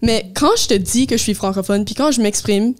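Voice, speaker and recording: A woman's voice: on the high side, fairly quick. A young woman from Moncton with a Chiac Acadian accent, chatting casually as a podcast co-host and slipping English words into her French. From Moncton, New Brunswick. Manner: mixes English words into French, many fillers. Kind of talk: casual chat.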